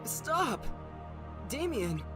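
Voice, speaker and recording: Woman voicing an earnest, wildly expressive young hero. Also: high-pitched, extremely slow, exaggerated voice. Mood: earnest, anguished. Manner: emotional, dramatic. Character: earnest, brave young hero, anguished for friends. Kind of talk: fan dub of a video game. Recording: very loud music over distant voice.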